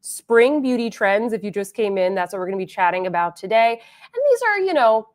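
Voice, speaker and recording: A woman's voice: medium pitch, very animated, a little fast. A woman hosting a beauty livestream, chatting casually with viewers and reading their comments aloud, a little congested and sniffly. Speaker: beauty channel host.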